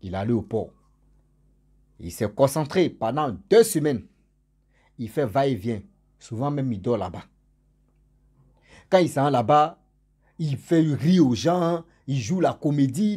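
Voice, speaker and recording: A man with a cold tells a story in a husky, slightly hoarse voice, mellow and dark in tone. He speaks casually to his followers and repeats words for emphasis. His stressed words come out loud and his endings drop away low. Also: animated, a little slow, long pauses. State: has a cold and a cough. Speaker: storyteller for his channel's subscribers.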